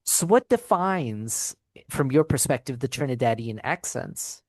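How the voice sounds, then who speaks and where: A man's voice: medium pitch, expressive, unhurried, a little mellow, no echo. A man hosting an interview as an English teacher, asking friendly questions with lots of fillers. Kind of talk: casual interview.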